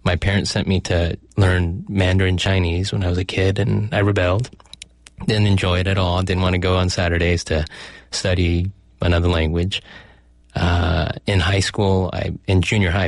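Low-voiced middle-aged man, physician guest, measured explainer, dry booth sound. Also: flat delivery, no echo. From United States. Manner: thoughtful, explanatory, with fillers and self-corrections. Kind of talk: conversational interview.

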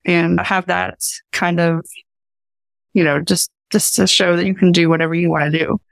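A woman's voice: lowish voice, little pitch movement, normal speed, gravelly, dry recording. A young woman with an Appalachian accent answering interview questions as a research scientist, earnest and informal in the way she talks. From Appalachia, United States. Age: young adult. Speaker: professor and research scientist, podcast guest.

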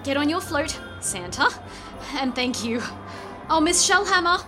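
A woman acts a harried, urgent organiser in a vintage-style radio play. Her voice is high and brisk, with clear music playing behind her. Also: animated. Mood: pleading, relieved. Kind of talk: old-time radio drama acting.

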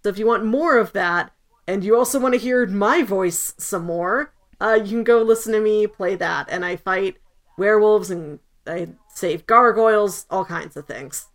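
A woman with a medium-pitched voice co-hosts a casual podcast. She sounds chatty and slightly wry, often adding small corrections and clarifications to what she says. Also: normal speed. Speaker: podcast co-host.

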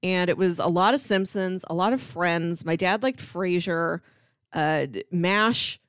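A woman's voice: lowish voice, measured pace, a bit bright, clean tone, no room sound, clean studio sound. A woman co-hosting a podcast, talking in a casual conversational style. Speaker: podcast co-host. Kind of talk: casual chat about TV sitcoms.

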